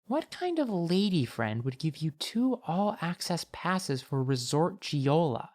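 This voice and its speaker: A man voicing a feisty, excitable young girl with a wry, blunt, eager manner in an audiobook. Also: on the high side, measured pace, voice pushed up. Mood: suspicious.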